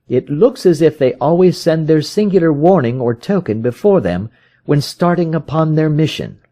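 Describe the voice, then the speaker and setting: A keen, methodical detective, read by a man in a storybook, with a higher-pitched, mellow voice. He reasons aloud confidently and urgently, questioning and stressing points with lively swings of pitch. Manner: didactic, questioning, emphatic. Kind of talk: dramatised storybook reading.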